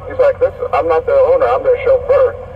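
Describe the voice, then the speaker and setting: A man's voice: on the high side, fairly quick. A man casually telling stories as a trucker, heard over a CB radio. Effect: CB radio transmission. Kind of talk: casual storytelling. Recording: dull distant CB speaker, vehicle noise. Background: faint vehicle noise.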